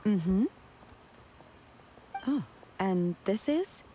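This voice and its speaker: Reserved, medium-pitched young waitress. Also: female voice, animated, extremely slow, heavy background noise. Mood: matter-of-fact. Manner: matter-of-fact, reserved. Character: stoic, polite young female disciple working as waitress. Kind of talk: video game voice acting. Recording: game music behind the voice.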